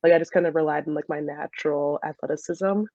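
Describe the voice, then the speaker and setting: A woman's voice: sits low, fairly even intonation, fast, a little mellow, no echo, muffled recording. A young American woman from Michigan answering interview questions in a casual, chatty way as a former college soccer player, often laughing as she talks. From Michigan, USA.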